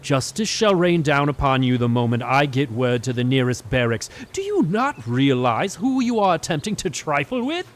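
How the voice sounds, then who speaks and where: A man's voice: mid-range voice, very animated, moderate pace, few pauses, natural voice. A man playing a haughty deposed lord in a fantasy audio drama, pompous, formal and scornful of those beneath him. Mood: indignant. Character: haughty, indignant deposed lord, sneering at peasants.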